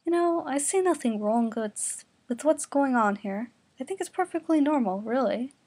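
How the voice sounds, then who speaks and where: A woman's voice: sits high, animated, moderate pace, darkish tone. Reader playing a coolly matter-of-fact screwball woman. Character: coolly serious, screwball woman defending cannibals.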